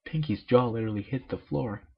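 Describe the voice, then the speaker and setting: A man's voice: mid-range voice, fairly quick, creaky, slightly rough. A man narrating a story as an amateur reader, with joking asides, on a dull lo-fi home recording. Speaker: amateur fan fiction reader.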